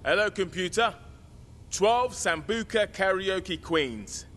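An actor playing a wisecracking, streetwise British survivor in a zombie video game, flippant and slangy in manner. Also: male voice, sits high, animated, slow, a bit bright, heavily acted. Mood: impatient. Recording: faint background ambient noise.

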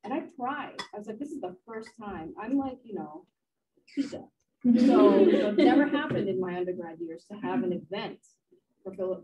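A woman's voice: medium pitch, warm tone. A middle-aged woman from the Boston area, a university career services staffer, telling reflective stories on a panel. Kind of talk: casual panel discussion. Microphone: video call. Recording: dull video call audio.

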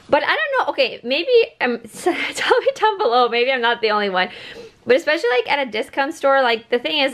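A woman's voice: a little high, fairly bright tone. A woman, talking casually in a rambling, conversational way as a vlogger. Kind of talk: casual chatty haul video talk. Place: indoors at home by a wooden table.

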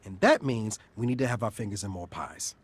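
A man's voice: fairly low pitch, expressive, a little fast, husky. A man voicing an enthusiastic, scheming young crime entrepreneur in a video game, casual and eager.

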